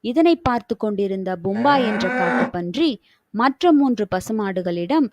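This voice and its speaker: A woman's voice: a little high, expressive, unhurried, crowd noise. A woman reading scripted moral stories for children as a storybook narrator.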